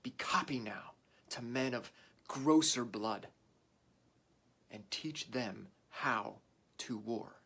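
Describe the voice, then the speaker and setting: Slow, rousing warrior king. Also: mid-range voice, gravelly, slightly rough, full character voice. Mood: solemn, rousing. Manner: declamatory, deliberate, swinging from hushed to shouted. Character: warrior English king rousing his weary troops before battle. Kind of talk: Shakespearean theatrical monologue.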